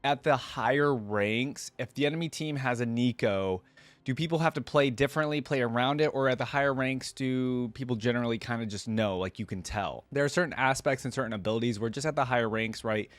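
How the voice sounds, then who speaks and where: A man's voice: mid-range voice, brisk, no room sound. A man hosting a reaction video, chatting casually and rambling his thoughts to viewers on a close mic. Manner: rambling, musing, addresses viewers.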